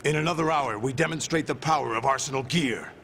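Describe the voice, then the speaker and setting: Casting: male actor as imperious game villain, cold ex-warlord and father figure, creaky voice, deliberate delivery, noisy capture. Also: medium pitch, a bit bright, close to an everyday voice. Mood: cold command. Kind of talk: dramatic video game cutscene acting.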